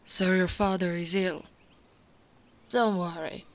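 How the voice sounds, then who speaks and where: Slow, tender orc woman guard, acted by a woman. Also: fairly low pitch, steady tone. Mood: affectionate, reassuring. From Italy. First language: Italian. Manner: slow, intimate, often dropping to a whisper. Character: tall female orc royal guard, tender, devoted suitor. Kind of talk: ASMR roleplay, spoken softly to the listener.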